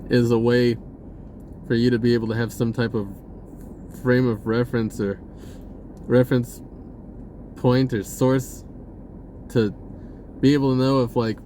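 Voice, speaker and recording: A man's voice: medium pitch, warm tone, slight background noise. An American man telling personal stories casually and off the cuff as a self-help vlogger, rambling, slangy and often interrupting himself. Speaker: vlogger sharing spiritual self-help advice. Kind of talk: casual unscripted personal storytelling.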